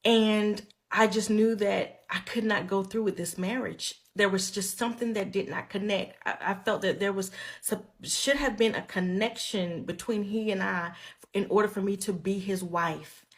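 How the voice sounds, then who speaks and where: A woman speaks in a testimonial video with a calm, earnest tone. She sounds reflective and measured at an ordinary mid pitch.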